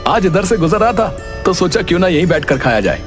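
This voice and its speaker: A man's voice: sits high, big pitch swings, quick pace, faint music behind. A man voicing a courteous, righteous city lawyer in a cartoon story, speaking in a polite, assured way. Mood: friendly, curious. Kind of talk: cartoon moral-story acting.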